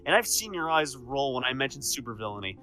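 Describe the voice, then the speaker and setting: A man playing a proud, defiant supervillain with a soft side in an audio drama, speaking emphatically and earnestly. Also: medium pitch, sing-song, fast, soft background music. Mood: indignant, hurt.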